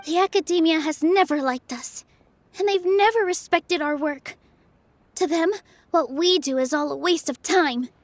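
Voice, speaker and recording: A woman voicing a gentle, earnest young dancer in a game who speaks softly and sincerely. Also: high voice, moderate pace, no echo, close to an everyday voice. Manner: soft, soothing, sincere.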